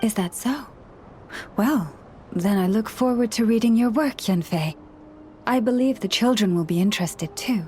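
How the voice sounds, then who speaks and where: A woman voicing a gentle, gracious young priestess in a video game, speaking in a formal, measured, polite way. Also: medium pitch, slight background noise, natural voice. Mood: warm, courteous. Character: gentle, gracious young female moon priestess, serenely courteous. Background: background music.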